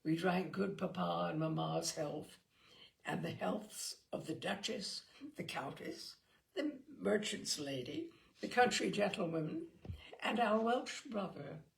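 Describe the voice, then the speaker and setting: A woman reading a classic letter aloud as narrator, with expressive, lively storytelling. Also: fairly low pitch, takes it slowly, vocal fry.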